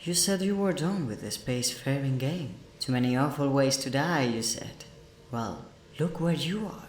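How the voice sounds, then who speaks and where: An actor playing an eerie, cryptic apparition of an old friend in an audio comedy, formal and ominous. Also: male voice, mid-range voice, lively intonation, normal speed, close to an everyday voice. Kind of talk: sci-fi audio comedy acting. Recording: echoey, faint background noise.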